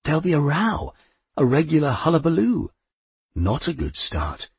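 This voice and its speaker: A man's voice: fairly high pitch, wildly swooping pitch, normal speed, husky, dry recording, pitched above the performer's own voice, heavily acted. A man voicing a chorus of angels that whisper anxiously in a storybook reading. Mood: uneasy.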